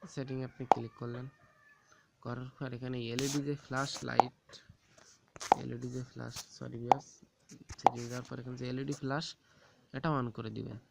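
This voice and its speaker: A man gives an informal, halting step-by-step phone app tutorial, often repeating himself. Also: mid-range voice, level tone, vocal fry. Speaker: tech tips tutorial host. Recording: very noisy, rooster crowing and clicks behind.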